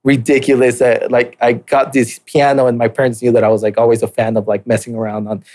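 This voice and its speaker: A man's voice: mid-range voice, level tone, fast talker, darkish tone. A man in his late twenties, born in Mexico, telling his life story as a podcast guest in a laid-back, hesitant way, with many fillers and restarts. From Mexico, raised in southern California and Utah. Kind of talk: casual interview storytelling.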